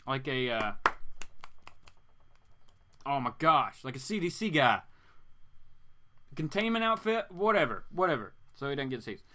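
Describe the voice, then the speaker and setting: A man's voice: mid-range voice, measured pace, pauses often, a little hiss or hum. A man reading a comic aloud informally and dramatically, breaking into joking asides. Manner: animated, joking, theatrical.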